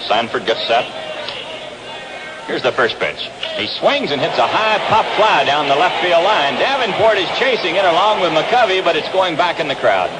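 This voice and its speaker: Man, American accent, lively swooping pitch, 1960s baseball radio play-by-play, noisy vintage recording, outdoor ballpark. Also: moderate pace. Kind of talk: 1960s radio sports commentary.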